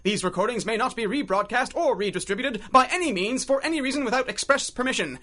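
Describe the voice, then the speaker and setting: A man playing an excited, theatrical announcer of an old-time radio adventure serial, bombastic in delivery. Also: very high voice, fast, heavily acted. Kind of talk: 1930s-style radio announcing.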